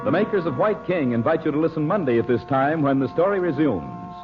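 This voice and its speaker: Old time radio announcer guy, coaxing sales pitch, very lively intonation, dull recording. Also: brisk. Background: music.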